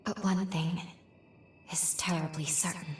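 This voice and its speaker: A woman acting a worried, earnest guide in a video game cutscene, speaking formally and gravely. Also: low-pitched, steady tone, a little slow, slight background noise. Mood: grave urgency. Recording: echoey, distant voice over soft music.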